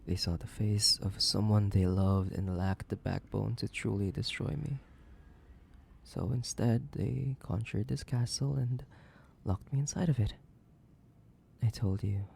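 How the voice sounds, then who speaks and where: Male actor as centuries-old sadistic vampire, lowish pitch, heavy vocal fry, menacing, theatrical, possessive, horror audio roleplay. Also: darkish tone, a little hiss or hum. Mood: brooding. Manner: theatrical, slow, menacing, lapsing into breathy whispers. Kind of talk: dramatic horror roleplay audio, addressing the listener.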